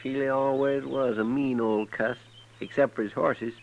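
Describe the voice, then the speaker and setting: Actor doing gravelly opinionated old west sidekick, drawly, noisy dull radio sound. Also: male voice, medium pitch, steady tone, normal speed, lightly acted. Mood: indignant, chatty. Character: folksy, opinionated frontier deputy, adult man. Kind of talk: 1950s western radio drama acting.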